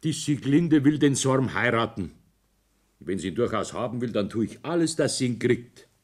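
Older Bavarian businessman, husky, animated pitch, pushy, calculating, radio drama. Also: normal speed. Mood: insistent, defensive. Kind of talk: naturalistic radio drama acting.

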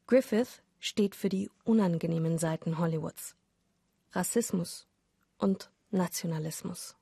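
A woman's voice: on the low side, measured pace, creaky, mellow. A woman narrating a scripted radio play as a storyteller.

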